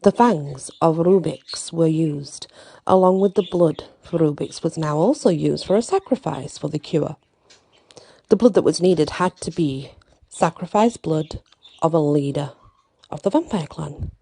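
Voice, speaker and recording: A woman reading an ASMR bedtime fantasy story with deliberate, dramatic phrasing and short pauses, close to the mic. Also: deep voice, creaky, dark tone, breathy edge.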